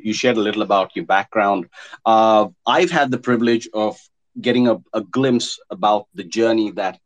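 A man hosting a live audio room show, speaking haltingly and repeating himself as he introduces his guest. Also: fairly low pitch. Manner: halting, repeats words and restarts. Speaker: host.

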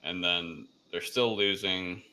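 Very deep, bright-voiced man recapping casually. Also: steady tone, slow pace, a little hiss or hum. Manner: rambling, slangy retelling with acted-out quotes. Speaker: podcast co-host. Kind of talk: casual film recap chat.

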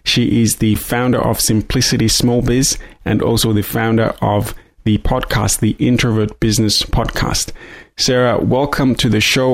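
A man's voice: fairly low pitch, creaky, no room sound. A man hosting a business podcast, reading sponsor copy and welcoming guests in an upbeat, effusive way. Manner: enthusiastic, effusive. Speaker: podcast host.

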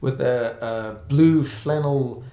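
Gravelly South African man in echoey room. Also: medium pitch, slow pace, mellow. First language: Afrikaans. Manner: hesitant, many fillers and restarts. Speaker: guest. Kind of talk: casual interview chat.

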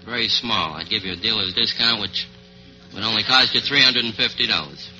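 A man answering in a measured, polite, salesmanlike way as a radio quiz contestant. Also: lowish voice, sharp tone, soft background music. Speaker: antique dealer, quiz contestant. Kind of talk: unscripted radio interview answers. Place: live radio show. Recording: dull old 1940s radio recording.

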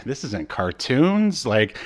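A man's voice: mid-range voice, very animated, takes it slowly. A man co-hosting a podcast, chatting casually and comedically with playful, exaggerated mock hype. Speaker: podcast co-host.

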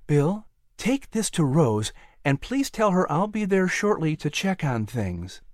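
Woman playing a lively, firm colonial mother. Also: sits low, measured pace, no room sound, studio quality, pitched above the performer's own voice. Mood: firm, cheery. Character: busy colonial mother, firm but gentle, cheery. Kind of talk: audiobook character voice.

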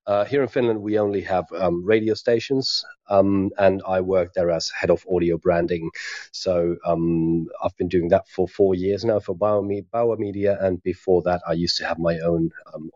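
A deep-voiced man from Germany speaks slowly and hesitantly, with many ums. His audio is muffled and dry, like a call recorded with no room around it. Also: a little mellow, no echo. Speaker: head of audio branding. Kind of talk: casual panel talk on a video call.

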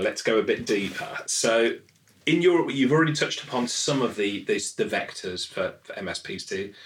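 A man's voice: mid-range voice, fairly bright tone, distant mic, slight background noise. A man hosting a podcast interview, eager and generous with praise. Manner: enthusiastic, lavish with praise. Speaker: podcast host. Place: studio in London.

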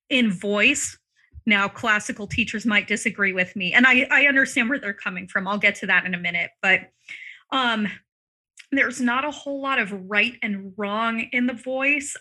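A woman talking casually on a podcast about singing, often saying "like" and "um," her throat a little dry and in need of clearing. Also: mid-range voice, sharp tone. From Arizona, US. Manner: chatty, many fillers like "like" and "um". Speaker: vocal coach guest.

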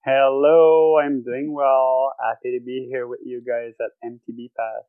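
A man's voice: medium pitch, level tone, unhurried, clear, resonant tone, no room sound, narrow, tinny audio. A man, a podcast guest who talks thoughtfully and searches for words. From Quebec, Canada. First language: French. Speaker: guest athlete. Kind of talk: casual podcast interview. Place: home bedroom.

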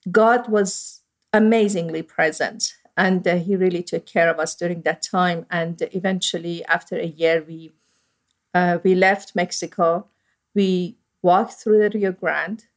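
A woman's voice: fairly low pitch, fairly even intonation, measured pace. A middle-aged woman with an Iranian accent, a priest telling her own story as an interview guest in an earnest, reflective way with frequent fillers. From Iran. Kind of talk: personal storytelling.

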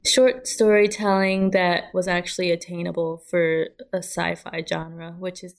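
A woman's voice: lowish voice, level tone, unhurried, creaky. A young woman talking casually as a guest in an interview. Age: young adult. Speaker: guest, podcast co-creator.

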